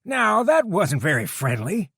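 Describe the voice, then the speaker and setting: A man voicing a gruff, commanding biker deputy with a drawling, authoritative delivery. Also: high-pitched, big pitch swings, unhurried, bright, forceful tone, no echo, clean studio sound, pitched above the performer's own voice, heavily acted.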